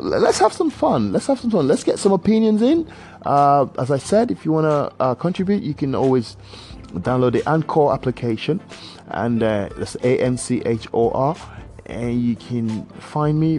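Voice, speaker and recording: A man talks through his solo podcast in a fairly low voice. He rambles and restarts, padding his thoughts with uhs. His delivery jumps from animated bursts to quiet muttering. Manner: swings between animated outbursts and low mutters. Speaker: podcast host. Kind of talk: casual solo podcast monologue.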